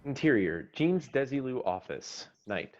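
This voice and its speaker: Male narrator for screenplay table read, scripted delivery, mid-pitched, slightly muffled lo-fi sound. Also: very animated, a little slow, a little mellow. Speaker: narrator reading screenplay stage directions. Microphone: video call. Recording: dull lo-fi online call audio.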